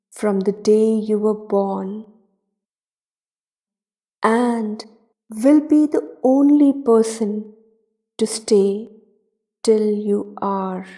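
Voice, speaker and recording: A woman narrating a guided meditation in a soothing, deliberate manner, leaving long pauses between short phrases. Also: on the high side, mellow.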